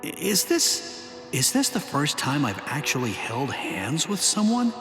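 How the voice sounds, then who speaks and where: An actor playing a brash, hot-tempered young swordsman in an anime game dub, talking bluntly and gruffly. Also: fairly high pitch, huge pitch swings, a little slow, over music, full character voice. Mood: awkward, subdued.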